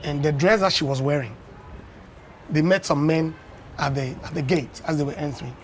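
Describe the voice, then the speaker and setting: A Ghanaian man in his fifties, a former dance champion, tells unhurried stories as a guest on a breakfast TV interview, often adding "you know.". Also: fairly high pitch, steady tone, a little hiss or hum. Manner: anecdotal storyteller, unhurried with many "you know"s. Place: television studio.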